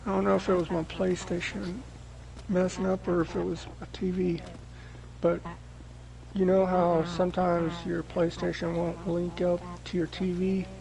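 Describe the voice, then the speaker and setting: A man on game voice chat sounds hoarse and sick, like he is losing his voice. He talks in a slow, flat, gravelly ramble, his pitch sitting high for a man. A crowd murmurs in the background. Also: poor audio. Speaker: gaming friend on voice chat. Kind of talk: casual chat.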